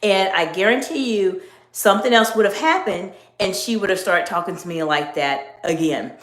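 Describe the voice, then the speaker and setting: Measured woman coaching in an echoey room. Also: mid-range voice, lively intonation. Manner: measured, emphatic teaching tone. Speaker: executive coach. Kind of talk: live-stream talk to viewers.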